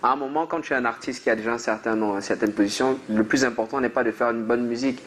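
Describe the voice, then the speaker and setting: A man's voice: mid-range voice, steady tone, fast, slight background noise. A man from Cameroon, a musician guest on a talk show, talking in an earnest, reflective way. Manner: earnest, reflective, sings snippets. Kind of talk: casual talk show chat.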